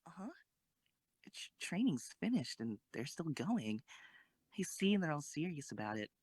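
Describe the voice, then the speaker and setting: A woman's voice: deep voice, very animated, measured pace, a little mellow, slightly rough, dry recording, exaggerated voice. A woman playing a modest, reluctant teenage girl who commands a tank in an amateur audio drama. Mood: reflective.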